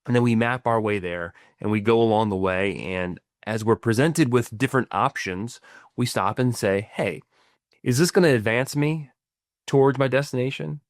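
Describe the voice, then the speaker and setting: A man's voice: fairly low pitch, dry recording, clean studio sound. A middle-aged man co-hosting a podcast as a financial planner, explaining ideas in casual chat with many restarts and "right?" tags. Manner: stumbling restarts, frequent tag questions.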